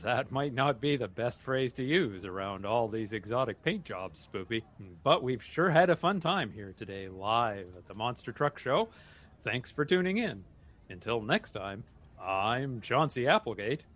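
A man playing a big, amiable radio reporter in a scripted comedy drama, with broad, expressive delivery. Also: medium pitch, measured pace. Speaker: radio co-host.

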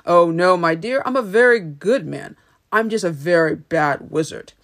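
A woman's voice: fairly low pitch, moderate pace, natural voice. A woman voicing a grandiose male wizard, a kindly humbug who declaims pompously in a storybook reading. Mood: protesting.

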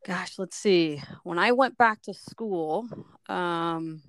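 A middle-aged woman from Liberia, a physician telling her life story in an interview, thoughtful, often pausing and restarting her phrases. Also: lowish voice, dry recording. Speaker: sports medicine physician, guest. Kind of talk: reflective personal story in an interview.

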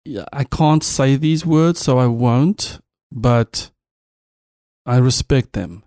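Male host, Afrikaans, warm smooth voice, friendly curious questions, dry close sound. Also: mid-range voice, slow pace, dry recording. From South Africa. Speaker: podcast host. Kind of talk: casual interview conversation.

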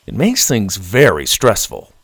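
A man's voice: on the low side, wildly swooping pitch, unhurried, fairly bright tone, heavily acted. A man voicing a patient father in a storybook who explains things and teases dryly. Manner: explaining, dry teasing. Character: patient dad, man, gently teasing.

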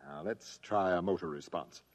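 An actor playing a commanding alien troop commander in an old-time radio drama, giving clipped military orders. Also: male voice, sits low, moderate pace. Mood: intent. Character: brisk, commanding male alien troop commander, excitable, authoritative.

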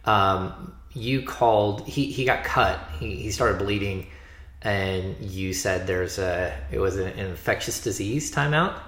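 A deep-voiced American man hosts a sports podcast in a casual, anecdotal style. His low voice carries a noticeable room echo as he talks through his stories like a reporter among colleagues. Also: takes it slowly, fairly bright tone. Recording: roomy, echoing sound.

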